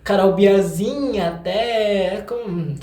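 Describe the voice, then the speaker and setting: A man's voice: high voice, very slow, mellow. Young Brazilian man, chatty, echoey room. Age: young adult. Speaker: singer-songwriter guest. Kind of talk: casual interview chat.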